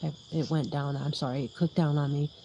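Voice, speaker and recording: A woman's voice: deep voice, fairly even intonation, a little fast, warm tone, dull audio, slight background noise. A woman hosting a livestream in casual, rambling chat, with a soothing voice, laughing often and losing her train of thought. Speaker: YouTube livestream host.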